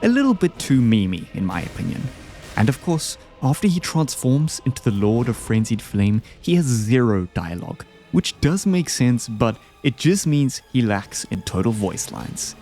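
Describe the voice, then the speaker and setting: Man, mid-pitched, animated, casual commentary, slangy, opinionated, faint background music. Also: normal speed. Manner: informal, slangy. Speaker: video host ranking game bosses.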